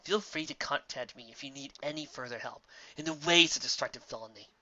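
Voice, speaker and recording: A woman voicing a loud, vain male mad scientist villain in a cartoon story. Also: rumbling low, brisk, a little hiss or hum. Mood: pompous. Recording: dull lo-fi recording.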